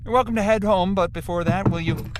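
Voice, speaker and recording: A man's voice: sits high, fast talker, very noisy, natural voice. A man playing a suave, doting game show boss in an audio drama, talking in a wry, theatrical way. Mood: amused, fond.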